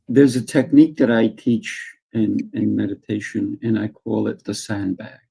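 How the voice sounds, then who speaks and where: A man who teaches martial arts, speaking in a calm, soft guiding way as a podcast guest. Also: on the low side, moderate pace, creaky, breathy edge, low-quality recording. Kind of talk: conversational interview talk.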